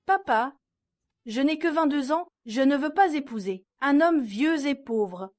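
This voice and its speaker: A spoiled daughter in her twenties with a high, bright voice. She is petulant and dismissive, always ready with an excuse. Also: expressive, unhurried. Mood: defensive. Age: 20s. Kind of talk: dubbed drama acting.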